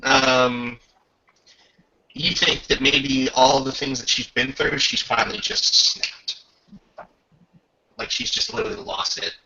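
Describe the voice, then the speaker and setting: A man narrating a tabletop roleplaying game as game master, casual and descriptive, with a gravelly, husky voice. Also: medium pitch, slow, pauses often, sharp tone. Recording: muffled lo-fi voice recording.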